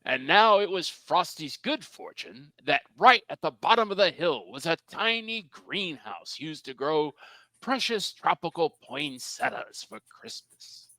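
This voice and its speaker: A man's voice: fairly high pitch, expressive, measured pace, bright, forceful tone, dry recording. A man narrating a cartoon table read in a theatrical, folksy storybook style with emphatic phrasing.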